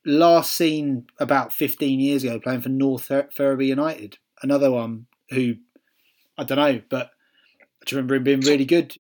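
A man's voice: medium pitch, steady tone, moderate pace, darkish tone. Welsh guy rambling on a podcast, lots of ums, restarts himself. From Wales. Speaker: podcast co-host. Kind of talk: casual chat.

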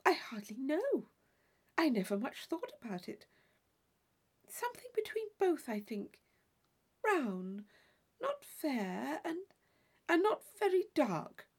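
Woman acting earnest naive girl, artless manner, raised pitch, audiobook character. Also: very animated, slow, long pauses, heavily acted. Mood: shy, hesitant. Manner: artless, hesitant, polite. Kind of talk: dramatised audiobook reading of a classic novel.

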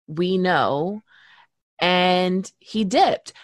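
A woman's voice: lowish voice, very slow, dry recording, studio quality. A woman co-hosting a podcast, chatting animatedly and laughing easily. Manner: animated, laughing, reads passages aloud. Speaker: podcast co-host. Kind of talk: casual chat.

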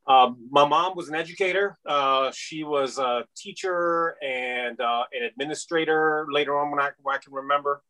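A man's voice: fairly high pitch, fairly even intonation, normal speed, few pauses, bright, forceful tone, low-quality recording. A man in his fifties from Iran, a podcast guest rambling casually with many fillers. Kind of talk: casual interview chat.

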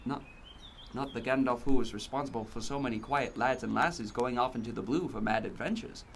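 A man's voice: mid-range voice, fairly quick, creaky, a little hiss or hum, lightly acted. A man playing a fussy, polite hobbit in an audio drama, flustered and given to exclaiming. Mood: astonished.

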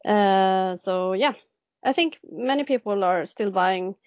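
A woman's voice: medium pitch, takes it slowly, a little mellow, no echo. Hesitant Swedish woman in her thirties. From Sweden. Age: 30s. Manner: hesitant, many ums and restarts. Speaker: guest illustrator. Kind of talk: casual interview answers. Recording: dry, dull lo-fi sound.

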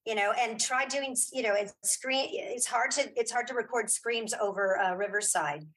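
A woman's voice: on the high side, moderate pace, a bit bright, slightly rough, rough recording. Chatty woman being interviewed. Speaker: interview guest, audio drama creator. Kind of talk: casual interview chat.

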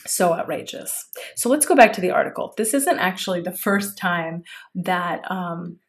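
A woman's voice: on the low side, expressive, normal speed. A young adult woman co-hosting a podcast, talking in an indignant, emphatic way. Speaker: podcast co-host. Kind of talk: casual political discussion.

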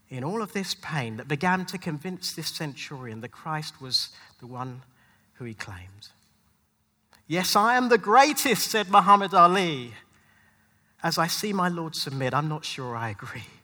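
A man preaches in rhyme with a forceful, high-set voice that rings through a long hall reverb. His delivery is dramatic and rhythmic, like performed poetry from a pastor, leaning hard on key words and leaving deliberate pauses. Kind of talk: spoken-word rhyming sermon. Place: large hall at a live church event.

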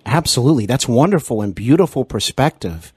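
A man's voice: medium pitch, lively intonation, a little fast, a little mellow, slightly rough, no room sound. A man hosting a conversational interview, speaking politely in long, hesitant, wordy questions. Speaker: interview show host.

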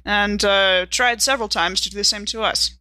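A woman with a bright, forward voice plays in a tabletop game. She speaks casually but in wordy, carefully built phrases with hesitations, recorded dry and close. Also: medium pitch, fairly even intonation, moderate pace, no echo, poor audio. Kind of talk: casual in-character game chat.

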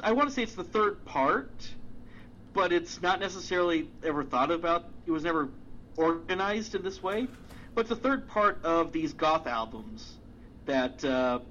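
Man, podcast co-host, loose rambling talk with fillers and swearing, slightly high voice, muffled lo-fi sound. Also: a bit bright, a little hiss or hum. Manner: rambling, many fillers and restarts, swears casually. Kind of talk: casual chat.

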